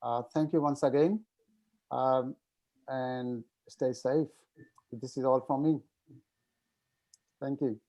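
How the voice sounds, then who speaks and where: A man's voice: medium pitch, fairly even intonation, slow, long pauses, warm tone. A man chairing an online meeting, brief and formal as he moves through the agenda. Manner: brief, formal procedural phrasing.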